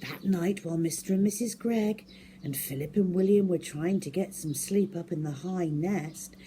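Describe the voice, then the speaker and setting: A woman telling a story to children in an expressive, emphatic way, addressing young listeners directly. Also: fairly low pitch, moderate pace, slight background noise.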